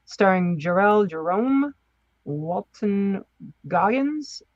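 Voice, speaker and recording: A woman chats as a podcast co-host, informal and enthusiastic. Her voice sits at a medium pitch, in a dry space with no room echo. The audio is a little dull and lo-fi. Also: expressive, slow. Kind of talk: casual podcast chat.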